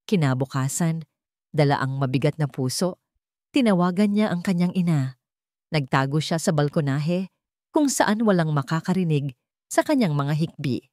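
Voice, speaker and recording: Low-pitched Filipino woman narrating, dry sound. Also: expressive, normal speed, darkish tone, no room sound. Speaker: story narrator. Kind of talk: scripted dramatic story narration.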